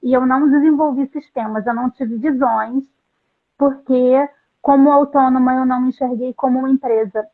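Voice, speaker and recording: A middle-aged woman, a translator, telling her story in an interview, earnest and halting with frequent restarts. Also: sits high, steady tone. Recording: tinny phone-like line.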